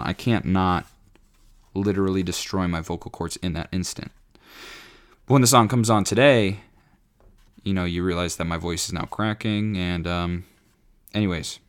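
A man in his twenties hosting his own podcast, rambling casually with lots of fillers and restarts, his voice raspy from singing. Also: low voice. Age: 20s. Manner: rambling, self-correcting, frequent fillers. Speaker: podcast host. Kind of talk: rambling solo podcast chat.

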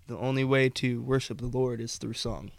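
A man co-hosting a podcast in casual faith discussion, earnest and rambling, his voice going hoarse. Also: mid-range voice, steady tone, moderate pace, dry recording. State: losing his voice, coughing. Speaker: podcast co-host.

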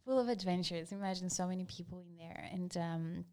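Female guest from Greece, slightly low voice, easygoing and reflective. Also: a little fast, darkish tone. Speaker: guest, hat designer. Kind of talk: casual interview chat.

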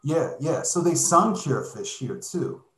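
A man in casual conversation, explaining things in a relaxed way, often adding "like" and "right?". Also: a little high, unhurried. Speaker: podcast guest living abroad. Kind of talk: casual chat. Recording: echoey room.

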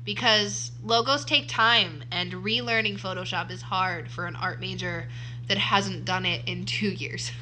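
A woman's voice: mid-range voice, unhurried, sharp tone, a little hiss or hum, low-quality recording. A woman in her early twenties co-hosting a casual podcast, rambling and correcting herself as she chats. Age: 20s. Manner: rambling, self-correcting, laughs often. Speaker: podcast co-host. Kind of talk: casual chat. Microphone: too close to the mic.

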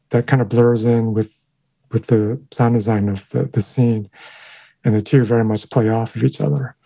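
A man whose first language is Chinese, speaking as a guest in an interview. He talks in a thoughtful, halting way with many fillers, in a mellow, darker tone. The recording sounds dull and lo-fi, with no room echo. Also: mid-range voice. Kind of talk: interview conversation.

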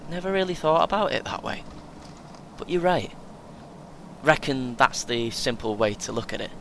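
A man's voice: lively intonation, normal speed, a bit bright. A man playing a cheeky, chatty British runner in his twenties in an audio play, with a casual, laddish manner. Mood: friendly. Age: young adult. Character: cheeky, chatty young male marathon runner, 23. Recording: clear vehicle rumble noise. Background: vehicle ambience.

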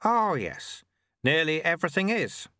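Man voicing a cheerful, high-pitched little old monk. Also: big pitch swings, measured pace, dry recording, clean studio sound, full character voice. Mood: amiable, amused. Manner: breezy, wry, gently teasing. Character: cheerful, amiable little old monk sweeper, wryly modest.

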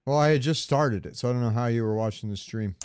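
A man's voice: medium pitch, fast talker, a little mellow, smooth. A man co-hosting a podcast, chatting casually about video games and rambling as he searches for words. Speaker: podcast co-host.

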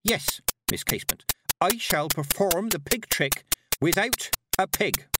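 A man's voice: high-pitched, huge pitch swings, moderate pace, very noisy, pitched above the performer's own voice. A man voicing an earnest, stubborn young witch girl in an audiobook, firm and emphatic. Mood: determined.

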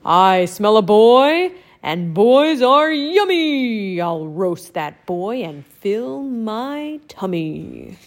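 A woman voicing a huge, mean, hungry male giant in a storybook reading, speaking low and slow. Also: big pitch swings, heavily acted. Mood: menacing.

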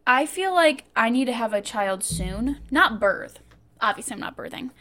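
A woman's voice: on the high side, expressive, moderate pace, sharp tone. A woman in her twenties co-hosting a podcast, chatting casually and rambling with self-mocking asides that trail off. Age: 20s. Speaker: podcast co-host. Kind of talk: casual chat.

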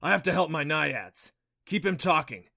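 Man voicing a brisk, bright-voiced kelpie guardian. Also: fairly high pitch, expressive, vocal fry, no echo, clean studio sound, pitched above the performer's own voice, heavily acted. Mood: urgent, encouraging. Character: brisk, confident male kelpie, encouraging water-horse guardian. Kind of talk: audiobook character voice for a fantasy story.

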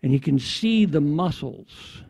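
A man preaching in a declamatory way, recounting a prophetic vision in short phrases with deliberate pauses. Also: fairly high pitch, lively intonation, darkish tone, husky, no room sound. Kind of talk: prophetic sermon narration.

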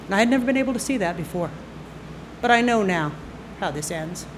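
A woman in her sixties telling a personal story on stage into a microphone, conversational and halting, with fillers and bursts of laughter. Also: medium pitch, lively intonation, noisy background. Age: 60s. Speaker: storyteller at a live storytelling night. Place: stage at a live event.

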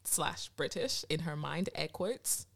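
A woman's voice: deep voice, lively intonation, takes it slowly, vocal fry, a bit bright. A young woman from Nigeria co-hosting a podcast, chatting casually and teasing her friend, with frequent laughs. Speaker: podcast co-host. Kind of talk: casual chat.

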